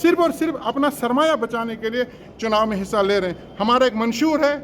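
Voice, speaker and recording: A man's voice: very high voice, brisk, a bit bright. This is a man. He speaks as a candidate on the campaign trail, formal and earnest. He drives his points home with emphatic repetition. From Jammu and Kashmir, India. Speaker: election candidate. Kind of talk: political campaign speech in formal Urdu-heavy register.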